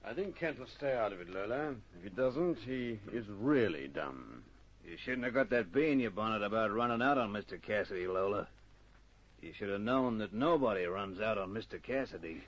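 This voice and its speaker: An actor playing a patronizing, menacing gangster with a husky voice in an old radio crime drama. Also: male voice, lowish voice, animated, normal speed. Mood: coolly threatening. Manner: patronizing, veiled threats. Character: menacing, smooth-talking male crime boss or henchman. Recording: muffled old radio recording.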